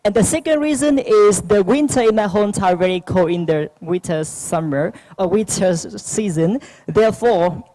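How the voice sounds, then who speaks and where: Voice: mid-range voice, dark tone, a little hiss or hum, poor audio. Young speaker with a Vietnamese accent. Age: young adult. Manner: hesitant, halting, many restarts and fillers. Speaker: youth panel speaker. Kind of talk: prepared speech at a youth forum.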